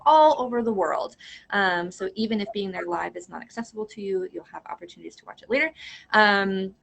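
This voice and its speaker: A woman's voice: on the low side, gravelly, fairly bright tone. A woman guest speaking casually on a video call, filling her speech with "like" and "um". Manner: fills with "like" and "um". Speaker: guest voice teacher. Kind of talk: casual interview chat.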